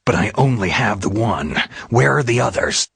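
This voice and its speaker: Gruff american spy guy, super raspy low voice, terse, video game hero. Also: expressive, moderate pace, full character voice. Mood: focused. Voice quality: gravelly, husky. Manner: terse, questioning. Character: gruff, weary, hardened male secret agent on a mission.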